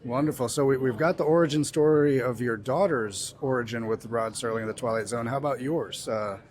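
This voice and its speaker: A man's voice: mid-range voice, a little fast, darkish tone. A man acts as interviewer at an event, with a mid-range voice. He is polite and appreciative, putting questions in an easy conversational style.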